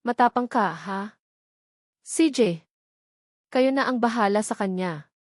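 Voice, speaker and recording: A woman's voice: mid-range voice, animated, slow pace, no echo, clean studio sound. Woman voicing a curt, menacing thug boss. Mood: mocking. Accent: Filipino. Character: menacing male thug leader, curt and mocking. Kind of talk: audiobook character dialogue.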